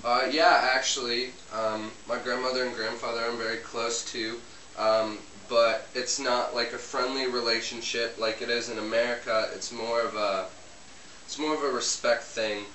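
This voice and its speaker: A man's voice: mid-range voice, steady tone, moderate pace, bright, forceful tone, natural voice. A man doing a polite young Polish guy, hesitant, full of ums, distant camera mic, roomy kitchen, hiss. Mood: relaxed. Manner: hesitant, many ums and uhs, rambling. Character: young man from Poland who cooks and chats politely. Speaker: interviewee cooking on camera. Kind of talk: amateur comedy sketch interview. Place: home kitchen. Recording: roomy, distant, hissy home video.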